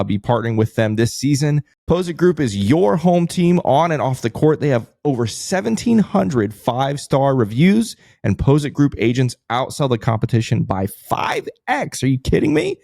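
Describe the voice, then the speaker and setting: A man's voice: medium pitch, vocal fry, dark tone, dry recording, clean studio sound. A man co-hosting a sports podcast, talking casually and with enthusiasm.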